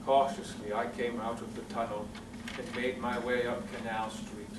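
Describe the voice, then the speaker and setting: A man playing a solemn survivor of an alien invasion in an old-time radio drama, speaking in a grave, measured, theatrical way. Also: mid-range voice, flat delivery, far from the mic, close to an everyday voice. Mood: grave, haunted. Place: stage performance in a roomy theatre. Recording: echoey, noisy stage captured from afar.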